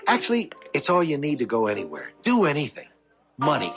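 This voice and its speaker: An actor playing a smooth-talking, ambitious salesman, breezy and persuasive, in the style of 1970s radio drama. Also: a little high, wildly swooping pitch, brisk, music in the background. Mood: confident. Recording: dull 1970s radio recording.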